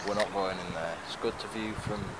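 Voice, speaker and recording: A man with a fairly low voice chats casually as he explores. He is outdoors on a handheld camera, and loud noise and wind run all through the recording. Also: steady tone, a little fast. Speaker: vlogger. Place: outdoors among derelict brick buildings and lanes. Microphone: handheld camera microphone.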